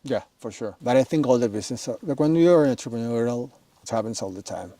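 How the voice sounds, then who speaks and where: A man's voice: mid-range voice, expressive, fast talker, warm tone, no room sound. A man from Chile in his forties, speaking flavoured in short, simple answers as an interview guest. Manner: simple non-native English, short answers. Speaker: guest, snowmobile business owner. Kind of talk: casual interview.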